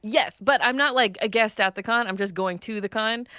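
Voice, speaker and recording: A woman's voice: a little high, moderate pace, bright, forceful tone, clear, resonant tone, dry recording, clean studio sound. A woman chatting casually as a voice actress guest, in a playful, giggly manner. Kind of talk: casual chat.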